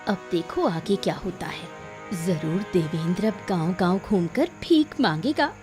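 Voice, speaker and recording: A woman voicing a spiteful, scheming village wife in an animated moral story, mocking and scornful. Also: fairly low pitch, unhurried, music in the background. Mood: spiteful, gloating.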